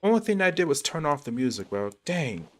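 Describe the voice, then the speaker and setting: A man's voice: a little high, very animated, a little fast, fairly bright tone, soft background music. A man livestreaming a game with casual, slangy, laid-back commentary. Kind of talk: casual reactive gameplay commentary.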